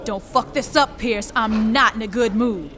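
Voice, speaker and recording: A woman's voice: fairly high pitch, normal speed, fairly bright tone, faint music behind, natural voice. An actress playing a tough, foul-mouthed female gang boss in a video game cutscene, blunt and threatening.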